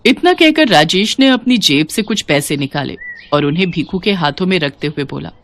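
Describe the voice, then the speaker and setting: A woman narrating a scripted children's story in an expressive storytelling style. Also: lowish voice, moderate pace.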